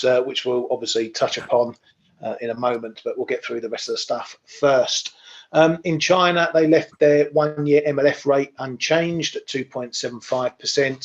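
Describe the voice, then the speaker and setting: A man hosting a casual markets show, relaxed and wry, with plenty of ums. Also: mid-range voice, dull audio.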